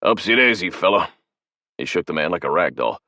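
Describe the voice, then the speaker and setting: A man voicing a tough male soldier boss, gruff, profane and commanding. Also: low-pitched, expressive, brisk, bright, forceful tone, dry recording.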